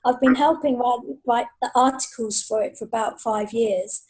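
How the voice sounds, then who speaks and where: A woman's voice: a little high, moderate pace, darkish tone, rough recording. A middle-aged woman chatting casually as a guest in a podcast interview. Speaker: podcast guest, freelance writer and author.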